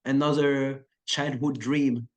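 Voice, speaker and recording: A man's voice: mid-range voice, slow pace, muffled recording. Chill guy in his 30s rambling thru an interview, lots of uh and like, choreographer type. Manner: relaxed, rambling, many fillers and restarts. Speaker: action choreographer and actor. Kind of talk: casual interview chat.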